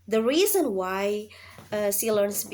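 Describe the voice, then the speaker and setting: A young woman, answering exam questions in English with many pauses, fillers and restarts. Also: medium pitch, sing-song, darkish tone, a little hiss or hum. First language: Javanese. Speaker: student. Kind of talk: recorded test answer.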